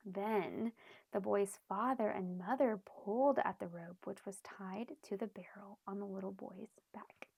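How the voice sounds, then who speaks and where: A woman reading a storybook aloud expressively for young children, pausing to explain things in simple terms. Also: mid-range voice, fairly even intonation, creaky, darkish tone, husky, no echo. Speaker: children's read-aloud host.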